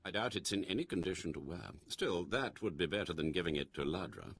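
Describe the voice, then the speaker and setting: A man playing a courteous, scholarly cult curator and mentor in a video game, measured and wry. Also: low-pitched, lively intonation, a bit bright, breathy edge, exaggerated voice. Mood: persuasive, earnest. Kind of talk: scripted video game voice acting.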